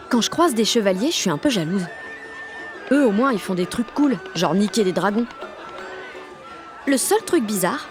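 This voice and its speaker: A woman's voice: mid-range voice, lightly acted. An actress with a French accent playing a cocky sixteen-year-old girl who narrates her day in slangy, sweary audio fiction. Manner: slangy, sweary, punchy inner monologue. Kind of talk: first-person audio fiction monologue.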